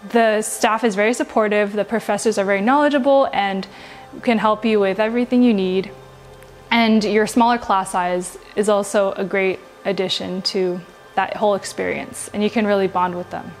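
A woman's voice: mid-range voice, fairly even intonation, normal speed, soft background music. A young woman answering interview questions as a student in a university lab, upbeat and assured.

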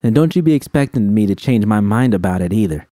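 A man's voice: on the low side, expressive, quick pace, mellow, no room sound, studio quality, lightly acted. A man voicing a brash, bossy woman who runs a bar, in an audiobook reading of a fan story. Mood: shouting. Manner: bossy, barking.

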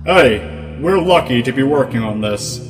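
A man playing an excitable, optimistic lab scientist in a comic fan dub, loud, emphatic and enthusiastic. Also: medium pitch, a little slow, lightly acted. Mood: excited. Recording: echoey voice under loud music.

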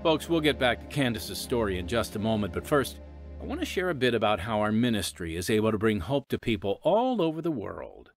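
A man's voice: fairly low pitch, big pitch swings, brisk, soft background music. A male host making a friendly, persuasive appeal for donations.

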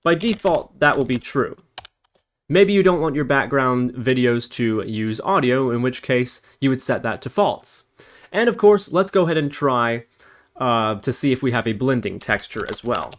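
A man's voice: mid-range voice, expressive, moderate pace. A man narrating a step-by-step programming screencast as an instructor, explaining and thinking aloud as he works.

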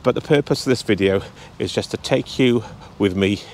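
A man's voice: on the low side, normal speed, darkish tone, slight background noise. Chatty man vlogging outdoors at arm's length. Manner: chatty, talking straight to camera while walking. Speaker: travel vlogger. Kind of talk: casual vlog walk-and-talk. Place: outdoors on a cold hillside. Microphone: handheld camera at arm's length.

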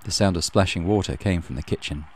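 Deep-voiced man narrating a visual novel. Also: quick pace, noisy background. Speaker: let's play host, narrator. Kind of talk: reading visual novel narration aloud with casual commentary. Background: soft game music.

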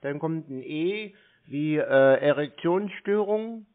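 A man's voice: a little high, lively intonation, slow. A man on a phone call, dawdling and acting clueless as he hesitantly spells things out. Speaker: prank caller toying with phone scammers. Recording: tinny narrowband phone line.

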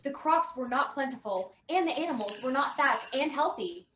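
A woman narrates a storybook tale from a script. Her voice is high, in a roomy bedroom with clear noise on the call. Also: lively intonation, normal speed, fairly bright tone. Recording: noisy, roomy video call audio.

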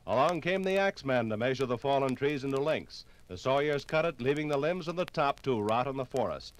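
A man narrating a scripted 1950s radio documentary in a measured, dramatic storytelling manner. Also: on the high side, low-quality recording.

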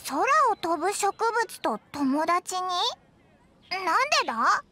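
A woman voicing a tiny, childish fairy companion in an anime game, squeaky, brash and excitable. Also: slow pace, exaggerated voice. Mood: indignant, puzzled. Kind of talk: Japanese anime game voice acting. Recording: loud music behind the voice.

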